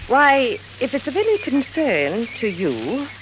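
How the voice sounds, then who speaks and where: An actress playing a prim, imperious, sharp-tongued heiress in an old radio drama. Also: female voice, high-pitched, huge pitch swings, measured pace, heavily acted. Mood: haughty. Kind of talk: 1940s-50s American radio acting. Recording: muffled, noisy old radio recording.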